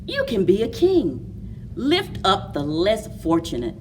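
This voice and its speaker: Slow, very animated woman reading to children. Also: medium pitch, recorded from a distance, a little hiss or hum. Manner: slow, deliberate, expressive delivery for young children. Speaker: library story time host. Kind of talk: children's picture book read-aloud and story time.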